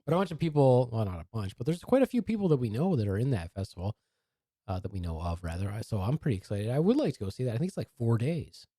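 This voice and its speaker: Teasing podcast host, lively pitch. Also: male voice, quick pace, no echo. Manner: teasing, rambling with restarts. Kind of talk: casual podcast banter.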